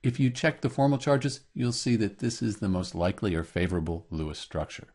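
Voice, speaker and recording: A man narrating a scripted tutorial as a teacher, explaining clearly step by step. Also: lowish voice, animated, fairly quick, darkish tone. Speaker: chemistry teacher, tutorial host.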